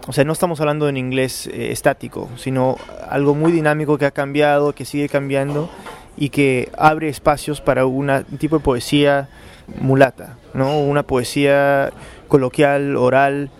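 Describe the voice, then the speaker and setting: A man's voice: medium pitch, level tone, moderate pace. Hesitant man answering at length. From Peru. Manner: thoughtful, many hesitations and repeated words. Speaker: interviewed novelist. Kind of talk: unscripted interview answers.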